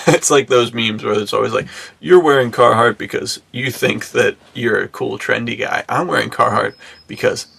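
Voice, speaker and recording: An American man with a very creaky, bright voice chats loosely as a podcast co-host. His delivery is slangy and rambling, with a slightly husky edge to the sound. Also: medium pitch, dry recording. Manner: slangy, rambling, acts out imagined remarks. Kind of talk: casual chat.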